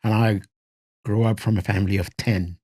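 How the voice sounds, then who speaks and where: A man, speaking softly and hesitantly as a podcast guest. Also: lowish voice, fairly even intonation, slightly rough, no room sound. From Vanuatu. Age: 50s. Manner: soft-spoken, hesitant, with pauses and fillers. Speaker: interview guest.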